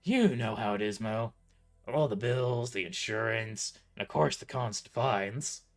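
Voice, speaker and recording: A man voicing a gross old cafe boss who blusters and croaks loudly. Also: on the low side, unhurried, faint music behind. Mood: cowering. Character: gross old male cafe boss, bullying then cowardly.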